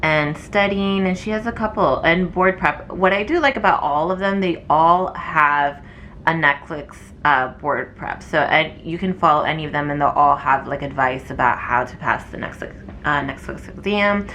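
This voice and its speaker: A woman's voice: low voice, normal speed, sharp tone, slight background noise. A young woman, a nursing student, chatting casually to camera with lots of fillers, her voice wearing thin from talking. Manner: chatty and rambling, many fillers. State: losing her voice.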